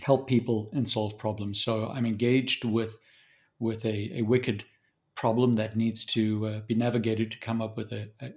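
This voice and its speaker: A man in his fifties with a Southern African accent, a guest in an interview, talking thoughtfully with frequent restarts and pauses. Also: fairly low pitch, little pitch movement, a little mellow. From born in Zambia, raised in South Africa. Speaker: guest consultant. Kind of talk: conversational interview.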